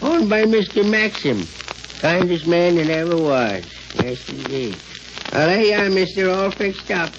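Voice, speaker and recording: A man's voice: high-pitched, expressive, a little slow. An actor playing a folksy, talkative backcountry gas station man with a rural American drawl in 1930s radio drama. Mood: eager, admiring. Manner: chatty, hesitant, colloquial. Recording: hissy, crackling muffled 1930s radio recording.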